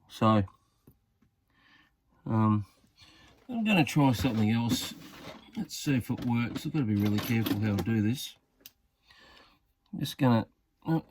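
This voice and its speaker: A man talks through a hands-on job in a casual, tentative way. His voice sits fairly low with plenty of vocal fry. He mutters as he works, and the sound is very dry, with hardly any room on it. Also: no echo. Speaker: hobbyist demonstrating a radio repair.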